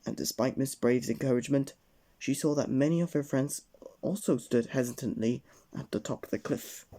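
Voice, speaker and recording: A man reading a children's storybook aloud at bedtime, gently addressing the young listener. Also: medium pitch, normal speed.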